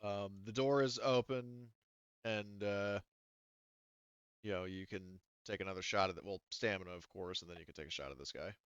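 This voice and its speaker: A low, smooth male voice, close and dry on a slightly dull microphone. He works as game master, thinking aloud and pausing often as he sorts out rules for the table. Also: a bit bright, no room sound. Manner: halting, thinking aloud while adjudicating rules. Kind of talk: running a tabletop role-playing game.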